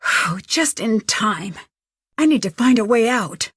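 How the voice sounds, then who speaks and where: A woman playing an inquisitive, level-headed young detective thinking aloud in a video game, earnest and crisp. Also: a little high, animated, a little slow, a bit bright, no room sound, clean studio sound, exaggerated voice. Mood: focused, pondering.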